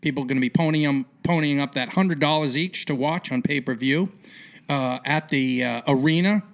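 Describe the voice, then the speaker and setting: A man's voice: fairly high pitch, normal speed, gravelly. A man hosting a sports podcast, asking rambling, opinionated questions in an informal interview style. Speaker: podcast host interviewing a guest.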